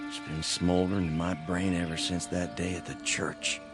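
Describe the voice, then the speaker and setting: Gravelly, very low-voiced wary country doctor. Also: male voice, level tone, moderate pace, music in the background. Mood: guarded. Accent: American. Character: wary small-town doctor, calm and cautious. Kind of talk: radio drama acting.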